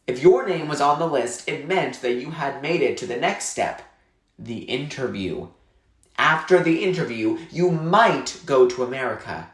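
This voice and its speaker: A man's voice: mid-range voice, lively intonation, normal speed, a bit bright. A man narrating a story in a scripted read-aloud style. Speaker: reader reading a novel aloud. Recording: echoey room.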